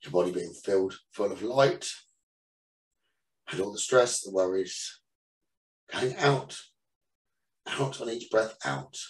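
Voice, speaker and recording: A man leading a guided meditation as the teacher, giving soothing instructions in short phrases with pauses between them. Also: fairly low pitch. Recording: roomy echo, distant from the mic.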